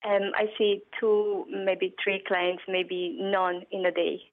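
A woman's voice: mid-range voice, flat delivery, slow pace. Woman with a Romanian accent, phone-line caller; narrow lo-fi sound, plainly blunt and matter-of-fact. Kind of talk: phone-in interview. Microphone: telephone.

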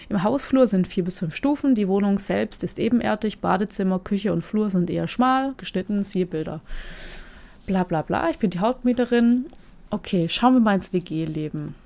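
A woman's voice: medium pitch, vocal fry, warm tone. A woman hosting a casual commentary, reading listings aloud and musing about them in a chatty way, often turning to ask her co-host questions.

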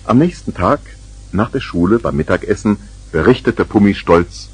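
An actor as the wry, matter-of-fact narrator of a 1970s children's radio play, with a husky voice. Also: male voice, lowish voice, lively intonation, normal speed. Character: wry storyteller of a children's tale.

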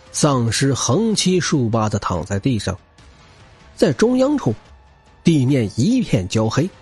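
A man's voice: a little high, a little mellow, breathy edge. A man narrating action fiction as a scripted audiobook, with a dramatic, theatrical and intense delivery.

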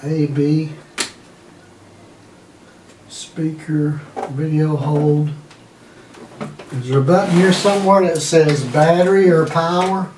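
Older man muttering slowly, far from mic. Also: fairly high pitch, fairly even intonation. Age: older, around 60s. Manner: thinking aloud in short fragments while handling an object. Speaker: hobbyist demonstrator testing old electronics. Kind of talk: casual, muttered hands-on demonstration. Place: indoor room at home, seated at a wooden table. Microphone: camera mic a few feet away. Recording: roomy, distant mic, noisy with handling clicks.

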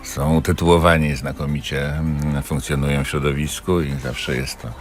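A man giving casual, halting answers as a guest in a radio studio interview. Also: extremely low pitch, level tone. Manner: halting, hesitant delivery. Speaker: theatre director, interview guest.

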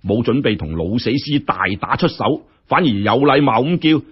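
A man's voice: mid-range voice, lively intonation, normal speed, husky, highs cut off. A man with a Hong Kong Cantonese accent narrating a story in a colloquial, theatrical, exclamatory way. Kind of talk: animated scripted story narration.

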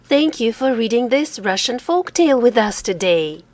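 A woman reading a children's folk tale aloud in slow, expressive, sing-song storytelling. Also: a little high.

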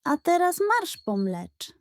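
A woman voicing a shrewd, unimpressed female goat in a children's story, speaking in a deliberate, dry, bossy way. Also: sits high, very animated, no echo, voice pushed up, exaggerated voice. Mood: calm, smug.

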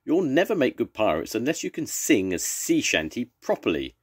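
A man reading aloud voices a pompous, excitable pirate captain who cries out his lines. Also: medium pitch, moderate pace, slightly rough, voice pushed up. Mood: blustering. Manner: excitable, crying out. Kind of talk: storybook reading.

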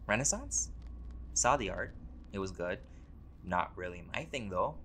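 A man playing a laid-back, centuries-old vampire scholar in an audio roleplay, talking in a relaxed, conversational and wryly playful way. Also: low-pitched, unhurried, slight background noise, exaggerated voice. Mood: musing, wry. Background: music.

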